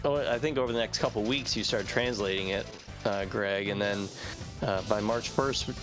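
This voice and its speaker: A man's voice: on the low side, fairly even intonation, normal speed. A man casually recounting things in conversation as a project team member. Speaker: fan translation team member. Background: game music.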